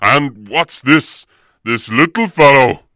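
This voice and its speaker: A huge, wary bear of a man growls bluntly in a fantasy storybook. The voice is gruff and booming, with a slow, heavy pace and a forceful edge, recorded close and dry. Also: dry recording. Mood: gruff, suspicious. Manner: blunt, forceful, laughing to himself.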